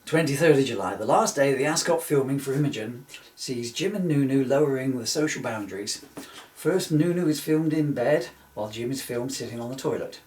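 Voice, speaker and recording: A man's voice: mid-range voice, expressive, brisk, darkish tone. Male reader for comic parody book, loose and rambling, easily amused, recorded off-mic in an echoey room. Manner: rambling, often breaking into giggles at own jokes. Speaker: author reading his parody book. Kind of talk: informal comic book reading with asides. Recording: roomy echo, distant microphone.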